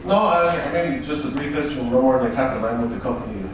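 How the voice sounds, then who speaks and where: A man's voice: medium pitch, quick pace. A middle-aged man with an Irish accent giving an informal, wry and self-deprecating talk to an audience. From Ireland. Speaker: hotel director on a ship. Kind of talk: informal, joking introduction to an audience. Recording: distant, very echoey noisy room.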